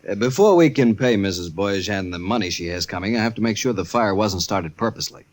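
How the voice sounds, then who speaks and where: An actor playing a measured insurance investigator, questioning formally and deliberately in a radio suspense style. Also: male voice, on the low side, animated, natural voice. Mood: polite, probing.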